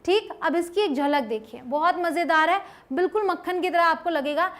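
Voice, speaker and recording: A woman with an Indian accent teaching an online English lesson step by step, often checking understanding and slowing down to model example sentences. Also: very high voice, a bit bright. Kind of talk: instructional lesson, explaining step by step.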